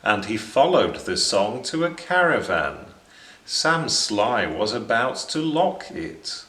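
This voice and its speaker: A man narrates a children's storybook expressively. His voice is on the low side with wide, lively intonation and a slow pace. The room echoes around him. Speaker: story reader. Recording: echoing room.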